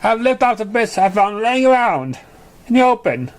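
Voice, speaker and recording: Anxious little filly, played by a male reader, pitched up, sorry and pleading, for a storybook. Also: sing-song, normal speed. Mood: confessing, sheepish. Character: anxious, apologetic young girl pony.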